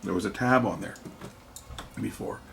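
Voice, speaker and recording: Low, gravelly man narrating a repair. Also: moderate pace, noisy background. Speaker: electronics repair video host. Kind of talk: casual hands-on repair narration.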